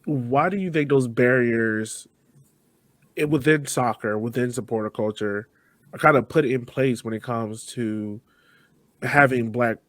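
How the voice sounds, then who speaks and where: A man's voice: mid-range voice, a little slow, dry recording. A man hosting a podcast, asking interview questions in a casual conversational way. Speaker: podcast host.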